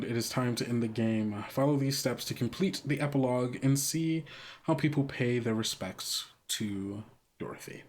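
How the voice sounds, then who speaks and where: A man improvising scene narration for a tabletop roleplaying game, halting and deliberate as he searches for words. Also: mid-range voice, darkish tone. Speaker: game master and player on an actual-play podcast.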